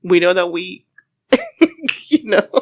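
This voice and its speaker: A chatty woman, co-hosting a casual podcast. Her voice sits a little low but swings widely in pitch as she jokes and laughs, slipping into Spanish now and then. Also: huge pitch swings, takes it slowly, a little mellow. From Cuba. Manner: chatty, laughs often, drops in Spanish phrases. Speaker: podcast co-host. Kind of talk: casual chat.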